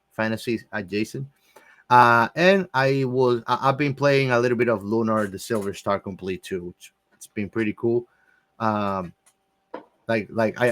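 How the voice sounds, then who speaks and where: A man co-hosting a podcast, chatting casually and informally with emphatic touches. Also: lowish voice. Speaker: podcast co-host. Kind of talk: casual chat about anime and games.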